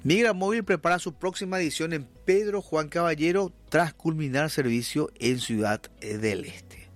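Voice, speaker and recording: A man's voice: a little high, normal speed, faint music behind. A man with a Paraguayan accent hosting a talk radio show, chatting with guests and reading news items with frequent fillers. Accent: Paraguayan Spanish. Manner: hesitant with many fillers and restarts, then reading news steadily. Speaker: radio host.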